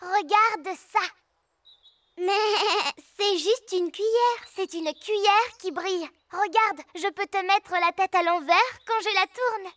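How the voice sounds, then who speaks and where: A woman's voice: very high voice, takes it slowly, fairly bright tone, dry recording, full character voice. A woman voicing a cheerful, curious little girl piglet in a children's cartoon, with childlike exclamations. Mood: excited.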